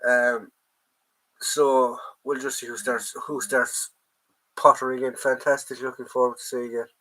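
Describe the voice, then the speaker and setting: A man's voice: mid-range voice, flat delivery, a little slow, sharp tone, poor audio. An Irish man with a stuffy nose from a head cold, hosting a casual live chat from home, rambling with plenty of ums and greeting viewers by name. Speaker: livestream host, collector.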